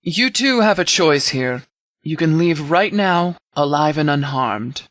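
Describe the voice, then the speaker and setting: A commanding video game sheriff, acted by a man, pitched a little above the usual male range. He speaks in measured, formal sentences with stern authority, like a shrewd negotiator laying down terms. The sound is close and dry, free of room reflections. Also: lively intonation, fairly bright tone, dry recording. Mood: cold threat. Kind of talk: scripted game dialogue.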